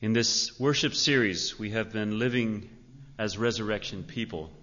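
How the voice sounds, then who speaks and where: A male pastor with a fairly low voice addresses a church in an earnest, measured way. His words ring through a long hall reverb, and the recording is somewhat dull. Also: a bit bright. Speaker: pastor introducing a guest speaker. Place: large indoor church sanctuary.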